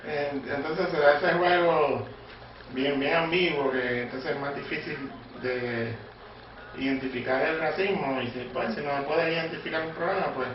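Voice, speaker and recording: A man's voice: a little high, moderate pace. A man answering an interview question in a hesitant, thoughtful way, with many fillers. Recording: echoey, distant, dull with background noise.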